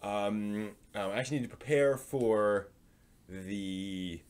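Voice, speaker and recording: A man with a fairly low voice presents news as the host of a live stream. His delivery is informal and a little halting, with phrases that tend to trail off as he comments on each item. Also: darkish tone, clear, resonant tone.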